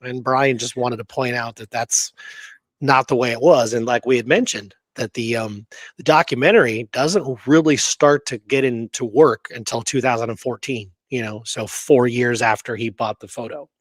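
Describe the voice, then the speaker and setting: A man's voice: mid-range voice, normal speed, dry recording. A man co-hosting a discussion show, reading out quotes and often checking in with "okay?" and "right?". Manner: frequent "you know" and checking "okay?", "right?". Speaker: show co-host.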